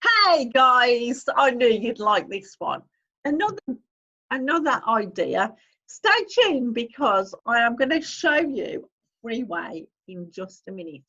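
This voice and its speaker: A woman's voice: a little high, big pitch swings, slow, pushed and bright, highs cut off. A woman, hosting a casual screen-share tutorial, chatting as she clicks through steps and reads on-screen text aloud. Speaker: online-income YouTuber host.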